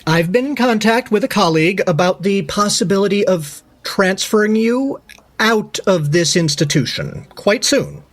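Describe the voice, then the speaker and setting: A self-important asylum doctor in an audio drama. His speech is formal and lofty, and his pitch swings in a lively, animated way. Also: moderate pace.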